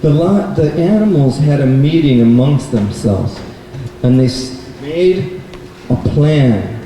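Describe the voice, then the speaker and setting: A man telling a children's story at a live puppet show, in simple, deliberate phrases with repeated words. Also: medium pitch, lively intonation, dark tone, soft background music. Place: public event space indoors, far from mic. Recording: very echoey noisy hall, distant mic.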